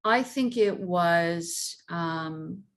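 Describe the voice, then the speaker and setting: A woman's voice: on the low side, very slow. A woman speaking as a panelist on a video call, giving emphatic and encouraging career advice. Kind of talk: panel discussion.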